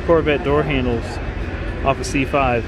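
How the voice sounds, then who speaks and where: A man's voice: medium pitch, fairly even intonation, measured pace. A young man giving informal, enthusiastic commentary while walking around cars at an outdoor auction lot, often saying "like". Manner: informal, enthusiastic, many "like" fillers. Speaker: car show videographer. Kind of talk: casual walkaround commentary. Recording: outdoor noise behind voice.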